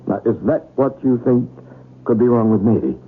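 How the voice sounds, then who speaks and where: A man's voice: mid-range voice, moderate pace, narrow, tinny audio, natural voice. A worried, earnest professor of a husband, played by a male actor in an old radio play. His mid-range voice presses insistently for answers. The recording is thin and faintly noisy. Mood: puzzled, concerned. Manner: earnest, urgent. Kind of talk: old-time radio drama acting.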